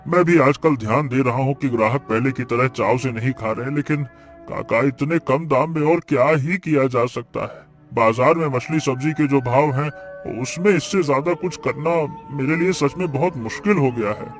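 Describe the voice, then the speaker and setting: Humble, hardworking cartoon tradesman played by a man, medium pitch, earnest, deferential and coaxing. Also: moderate pace, close to an everyday voice. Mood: worried, deferential. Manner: polite, coaxing, pleading. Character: earnest humble male vegetable seller and eatery cook, pleading. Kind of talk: Hindi cartoon story voice acting. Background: background music.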